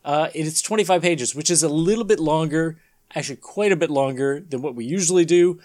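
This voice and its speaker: A man's voice: on the high side, fairly quick, a little mellow. A man hosting a podcast with casual comedic banter. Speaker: podcast host.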